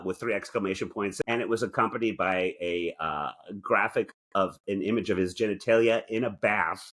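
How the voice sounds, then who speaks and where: A man's voice: on the low side, fairly bright tone. A man co-hosting a talk show with casual comedic banter and theatrical, mock-indignant asides. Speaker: podcast co-host. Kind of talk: casual comedic banter, reading aloud from articles.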